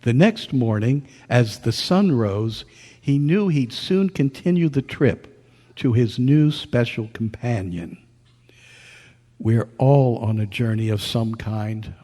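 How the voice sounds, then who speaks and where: Male, gentle radio-play storyteller read by a man, unhurried, echoing hall. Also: medium pitch, expressive. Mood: reflective. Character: Colonel Bear, kindly listener comforting a ghost, also narrator. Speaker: narrator and actor. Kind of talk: staged radio drama reading. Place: live club meeting in a hall. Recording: echoey hall with long reverb.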